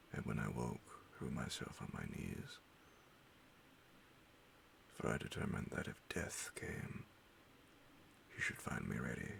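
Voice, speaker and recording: A man reading a horror bedtime story in ASMR style, hushed and half-whispered, breathy and gravelly, with dramatic pauses. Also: extremely low pitch, a little hiss or hum. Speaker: audiobook narrator.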